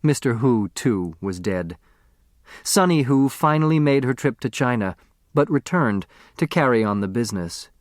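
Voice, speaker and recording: A man narrates literary fiction from a script. His voice sits at a middle pitch. The sound is dry, with no room to it. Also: lively intonation, normal speed, dry recording. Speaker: audiobook narrator.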